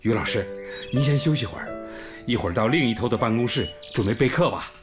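Man acting a kindly village headmaster, lively intonation. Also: mid-range voice, fast, lightly acted. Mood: earnest, gentle. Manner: courteous, welcoming. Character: kindly, apologetic male village primary school principal. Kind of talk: Chinese radio drama acting. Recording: music bed behind the voice.

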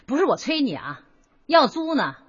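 An actress in a radio drama playing a pushy, impatient landlady with a scornful manner and a rough-edged voice. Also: high-pitched, very animated, a little slow, lo-fi sound. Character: brusque, impatient female landlady, sneering and pushy.

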